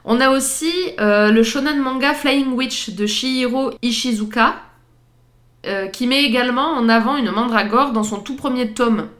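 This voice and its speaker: A woman's voice: a little high, moderate pace, fairly bright tone. A woman co-hosting a podcast, chatting casually through pop-culture references with frequent fillers and easy laughs. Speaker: podcast co-host.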